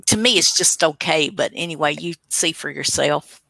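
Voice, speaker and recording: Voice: mid-range voice, moderate pace, creaky, sharp tone, dry recording, poor audio. An older co-host chatting casually in a rambling, wry way. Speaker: radio show co-host. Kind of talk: casual chat.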